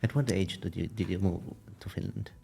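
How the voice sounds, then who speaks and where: A man hosting a podcast interview, with a very low voice that breaks into gravelly fry. He asks halting, roundabout questions and answers with soft, short murmurs. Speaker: podcast host.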